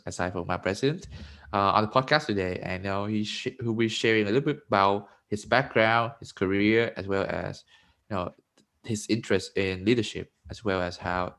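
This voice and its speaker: Upbeat male podcast host, lower voice. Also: a bit bright. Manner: upbeat, filler-heavy, says "you know" often. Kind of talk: casual podcast hosting.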